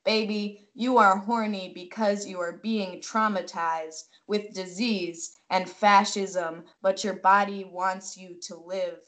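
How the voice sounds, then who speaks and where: A woman reading poetry aloud in a deliberate, measured way on a video call from home. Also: mid-range voice, steady tone, a bit bright. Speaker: poetry reader.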